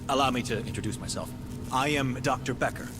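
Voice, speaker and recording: An actor playing a haughty, entitled corporate scientist in a video game, speaking in a formal, condescending way. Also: male voice, mid-range voice, fast talker, loud music behind the voice, lightly acted. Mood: aggrieved, ingratiating. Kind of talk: video game cutscene acting. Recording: loud noise and machine hum behind.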